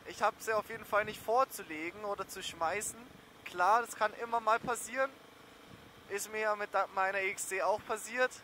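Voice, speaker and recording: A man's voice: high-pitched, normal speed, pushed and bright. A young man, chatting casually and slangily in a rambling way while riding a motorbike outdoors. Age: young adult. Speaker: motorcycle vlogger. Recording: riding noise, wind and engine. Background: motorcycle engine and road noise.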